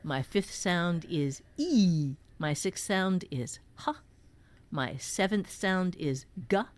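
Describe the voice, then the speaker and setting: A woman's voice: lowish voice, animated, slow. A woman teaching writing in a conversational way, explaining step by step with emphasis as a co-host.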